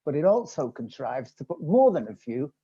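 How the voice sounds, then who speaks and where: A woman's voice: very deep voice, big pitch swings, normal speed, mellow, husky, heavily acted. A woman playing a pompous theatrical man who declaims in ornate, lofty phrases over a muffled online call. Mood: haughty. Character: pompous theatrical thespian man named Roger, lofty and grandiose.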